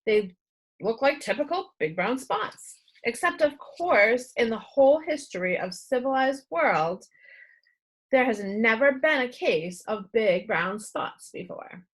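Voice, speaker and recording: A woman voicing a small, round old male doctor who is excitable, blustery and absent-minded in a children's storybook. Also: on the high side, a little slow, husky, voice pushed up, rough recording. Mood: befuddled.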